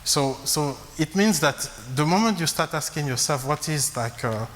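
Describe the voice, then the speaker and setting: A man who grew up in Congo giving a math lecture, halting with frequent fillers and restarts. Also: on the high side, expressive, a bit bright. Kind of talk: academic lecture at a blackboard. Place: lecture hall. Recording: long reverb, faint hiss.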